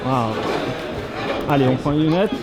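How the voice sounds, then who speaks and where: A woman's voice: very deep voice, normal speed, a loud crowd. A woman hosting a casual vlog, upbeat and encouraging. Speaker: vlog host. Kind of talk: casual chat. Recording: loud music behind.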